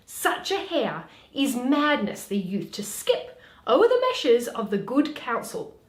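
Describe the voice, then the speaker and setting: A woman playing a witty, weary young noblewoman in a dramatic, deliberate reading of Shakespeare. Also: a little high, very animated. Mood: exasperated. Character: Portia, witty weary young noblewoman, mocking her suitors. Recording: echoey room.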